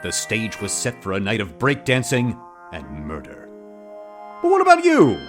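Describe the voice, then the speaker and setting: A man's voice: medium pitch, huge pitch swings, moderate pace, faint music behind. Theatrical, swooping prom boy. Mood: breezy, dramatic. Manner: dramatic, storytelling. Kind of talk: comedic audio drama acting.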